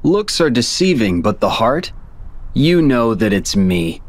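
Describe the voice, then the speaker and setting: An actor playing a cold, sardonic young villain in a video game, speaking in a cool, taunting way over music. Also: male voice, mid-range voice, very animated, unhurried. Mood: smug taunting. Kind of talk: video game cutscene acting.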